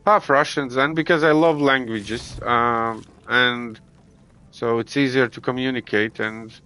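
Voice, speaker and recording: Slow-talking Latvian man streaming a game. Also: medium pitch, fairly even intonation, sharp tone, clean tone. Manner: halting, many restarts and fillers. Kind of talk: casual gameplay chat with viewers. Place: home gaming setup.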